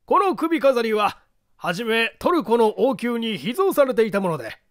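A man's voice: very high-pitched, very animated, moderate pace, a bit bright, no room sound, clean studio sound, voice pushed up, heavily acted. A man voicing a proud, genial aristocrat who speaks in a grand, formal, declamatory way. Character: proud, genial aristocratic uncle hosting a banquet.

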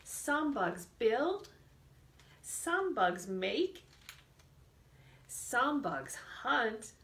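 A woman leading a storytime for young children, reading a picture book expressively and deliberately, drawing out words and asking the children questions. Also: a little high, sing-song, a bit bright, slight background noise. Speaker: children's librarian hosting storytime. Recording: echoey room sound.

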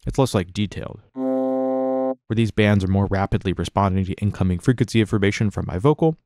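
A man narrating a casual step-by-step software tutorial in an informal, explanatory way. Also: fairly low pitch, fairly quick, no room sound, studio quality, soft background music.

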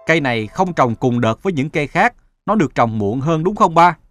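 A man's voice: a little high, animated, fairly quick, fairly bright tone, no room sound, faint music behind. A man voicing an earnest, self-doubting young adult son in a moral-story cartoon. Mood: puzzled. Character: earnest thirty-year-old son, restless and self-doubting.

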